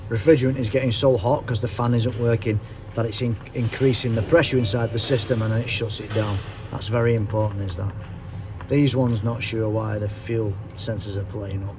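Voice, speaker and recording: A middle-aged man, a car mechanic, talking casually while he works through a fault, his voice hoarse and fading, with his phrases trailing off quietly. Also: on the low side. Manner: chatty, thinks aloud, trails off quietly at the end of phrases. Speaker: car mechanic, auto electrician. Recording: noisy workshop with vehicle sounds.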